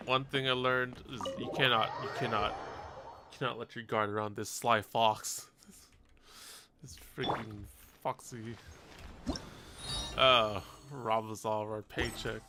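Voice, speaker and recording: A man's voice: mid-range voice, steady tone, a little slow, pauses often, background noise. A man live-streaming a game, reacting and chatting casually in slangy, sweary, exasperated gripes into a close mic.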